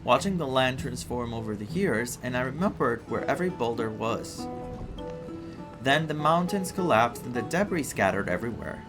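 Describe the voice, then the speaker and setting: A man acts out a tiny, lonely living flame in a fantasy game. It speaks plaintively, like a storyteller, at an ordinary male pitch. Music plays clearly behind it. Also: lively intonation, normal speed, natural voice. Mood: wistful. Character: small forlorn living flame pleading for fuel.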